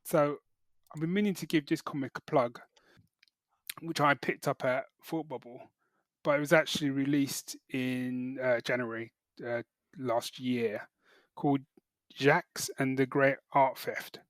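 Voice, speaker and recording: A middle-aged British man chatting casually as a podcast co-host, halting and correcting himself as he goes. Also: mid-range voice, steady tone, no room sound. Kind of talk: casual chat, geek reviews.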